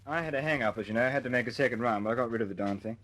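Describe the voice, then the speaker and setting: A man's voice: medium pitch, rapid-fire, fairly bright tone, lightly acted. A man playing a sheepish bomber pilot reporting back to his skipper in a wartime radio drama, informal yet respectful.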